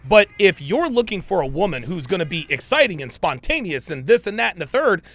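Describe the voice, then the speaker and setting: A man's voice: high voice, very animated, brisk, fairly bright tone, no room sound. A man in his forties hosting a podcast interview, chiming in eagerly and affirming his guest often. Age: 40s. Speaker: podcast host.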